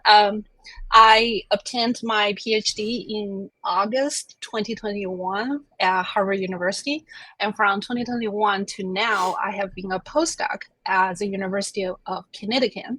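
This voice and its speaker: A woman's voice: medium pitch, steady tone, unhurried, fairly bright tone, dry recording, rough recording. A woman in her thirties from China, a native Chinese speaker, telling her life story in a chatty, self-deprecating way as an interview guest. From China (Chengdu). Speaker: interview guest, plant scientist.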